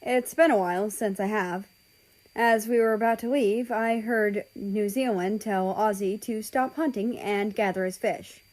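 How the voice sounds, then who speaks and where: A woman's voice: mid-range voice, fairly even intonation, a little slow, darkish tone, rough recording. A woman reading a first-person story aloud as scripted narration. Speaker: fan fiction reader.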